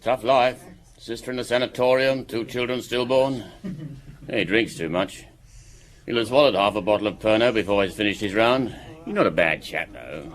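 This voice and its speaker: An actor playing a sociable, gossipy village innkeeper in a radio drama, chatty and confiding. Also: male voice, fairly low pitch, normal speed, natural voice.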